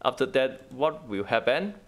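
Man with creaky voice, halting game commentary. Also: medium pitch, animated. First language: not English. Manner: halting, repetitive short phrases. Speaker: gaming guide host. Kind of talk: casual gameplay walkthrough commentary.

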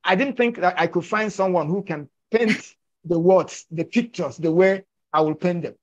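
An older man speaks in an emphatic, persuasive way, driving home each point. His voice sits high for a man. It comes through a muffled, dull-sounding video call. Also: normal speed. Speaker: filmmaker and actor discussing his film. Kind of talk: conversational storytelling. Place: indoors at home, virtual backdrop.

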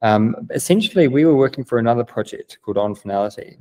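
A man's voice: medium pitch, animated, fast talker, darkish tone. A young man with a New Zealand accent, explaining things eagerly on a remote video call, with many "um" fillers. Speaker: guest, business developer. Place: plain-walled room indoors.

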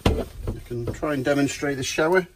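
A man's voice: mid-range voice, moderate pace, heavy background noise. A man giving an upbeat, chatty walkthrough of a small camper van, filming on a handheld phone. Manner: chatty, upbeat sales patter. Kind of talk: handheld walkaround video tour. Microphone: phone camera held in hand.

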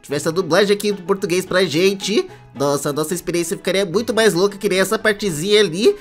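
A man's voice: high-pitched, fast, faint music behind, natural voice. A man with a Brazilian accent voicing a chatty, playful cartoon sponge who hosts reaction videos with exaggerated commentary. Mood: chatty, amazed. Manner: playful, exaggerated, addresses viewers as little friends. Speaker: host of a reaction channel. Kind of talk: casual reaction commentary for kids.

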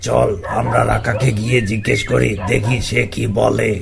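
A man voicing a bossy ogre demon chief in a cartoon, with a gravelly, creaky voice. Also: medium pitch, monotone, moderate pace, a crowd in the background. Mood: commanding. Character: bossy male ogre demon leading his minions. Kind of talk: Bengali cartoon dubbing.

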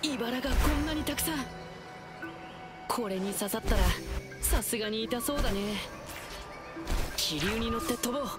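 A woman's voice: a little high, normal speed, long pauses, loud music behind the voice, lightly acted. A woman playing a bold, protective young boy hero in a video game, earnest and boyish. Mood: cheerful, curious. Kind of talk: Japanese video game voice acting.

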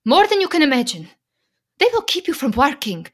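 An actress playing a firm, protective Slovak immigrant wife in a radio play, speaking with a Slovak accent in a measured, pleading, emphatic way. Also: female voice, high voice, sing-song, a bit bright. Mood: urgent. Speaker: actor.

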